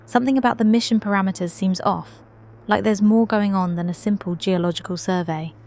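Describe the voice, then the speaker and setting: A woman's voice: medium pitch, steady tone, brisk, darkish tone, natural voice. Young female space officer, dutiful and modest, reflective log monologue for sci-fi audio drama played by a woman.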